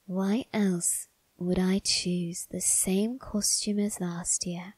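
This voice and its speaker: A woman voicing a suave male vampire husband in an ASMR roleplay, coaxing softly and often whispering with quiet menace. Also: lowish voice, fairly even intonation, no echo. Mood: seductive menace. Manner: slow, soft, breathy coaxing, often whispered.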